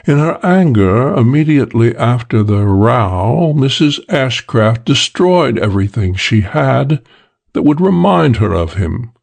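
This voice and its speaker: Narrator as formal, grave client with creaky voice. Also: male voice, mid-range voice, darkish tone. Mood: measured, regretful. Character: earnest businesslike man hiring a detective to find someone.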